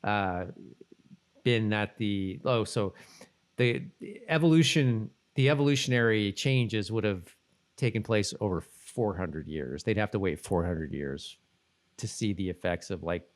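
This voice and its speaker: A man co-hosting a podcast in casual chat, reading out bits of trivia and thinking aloud in a halting way. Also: lowish voice. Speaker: podcast co-host.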